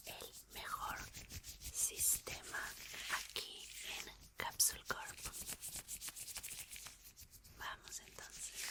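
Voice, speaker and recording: A woman playing a calm, caring young scientist who repairs an android, in a slow, soothing, breathy ASMR whisper. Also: lightly acted. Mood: focused, calm. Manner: slow, soothing, repeating words softly. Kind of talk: whispered Spanish ASMR roleplay.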